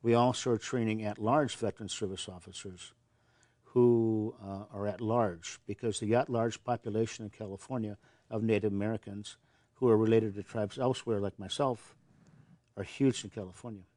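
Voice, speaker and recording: An older man from Montana talks in a lowish, steady voice. He answers with earnest conviction and often restarts with repeated words. The sound is close and dry, with no room echo. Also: normal speed. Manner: earnest, repeats words when starting sentences. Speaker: veterans service officer. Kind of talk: interview answers.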